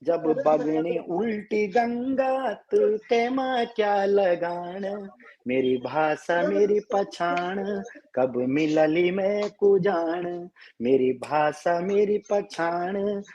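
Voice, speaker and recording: This is a man with a high voice and a Garhwali accent. He sings a slow folk song, then speaks earnestly and quickly as a guest. The sound is dull and lo-fi, like a live stream over a call. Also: animated, a little mellow. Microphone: live video call.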